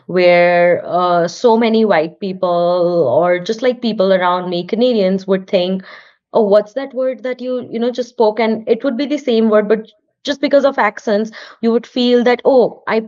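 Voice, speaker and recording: A woman's voice: mid-range voice, moderate pace, darkish tone. Earnest Indian-accented woman, muffled sound. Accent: Indian English. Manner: earnest, encouraging, with frequent restarts. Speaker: podcast guest, artist and studio owner. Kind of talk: casual interview talk.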